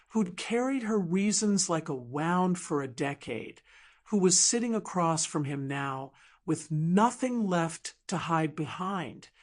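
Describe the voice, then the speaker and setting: A woman narrating an audiobook drama in a measured, emotive storytelling manner with deliberate pauses. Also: fairly low pitch.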